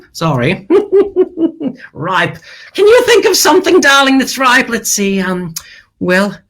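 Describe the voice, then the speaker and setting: A woman's voice: high-pitched, sing-song, slow pace, heavily acted. A woman playing a flamboyant, self-adoring children's TV hostess who talks theatrically, stretches her words and breaks into cackles. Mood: gushing, chatty. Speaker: children's show host parody. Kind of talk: comic character sketch.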